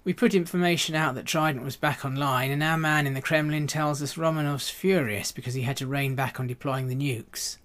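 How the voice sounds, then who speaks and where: A man's voice: a little high, steady tone, fairly quick, few pauses, a bit bright, natural voice. A man acting an assured, assertive senior intelligence chief in a radio drama. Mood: confident.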